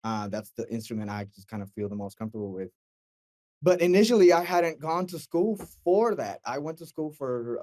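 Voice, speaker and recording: A young man from Mexico talks through his path into audio engineering in a loose, friendly interview. He tells it as a rambling story, slipping into lively quoted voices. His voice sits at an ordinary pitch, and the sound is close and dry with no room echo. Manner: relaxed storytelling, animated quoting with filler words. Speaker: guest, mix engineer. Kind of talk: casual interview chat.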